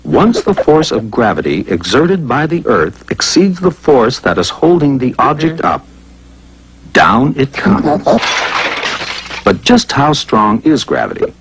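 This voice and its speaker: Animated male narrator with sweeping pitch. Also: unhurried, a little hiss or hum, low-quality recording. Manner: playful, conversational, rhetorical questions. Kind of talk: scripted educational cartoon narration.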